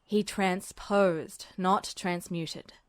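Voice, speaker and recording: A woman voicing a calm, bored male officer in a podfic reading, speaking in a dry, unimpressed way. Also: on the low side, drawn-out words, smooth, dry recording, voice pushed down. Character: bored, reasonable male military superior. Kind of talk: podfic reading of fan fiction.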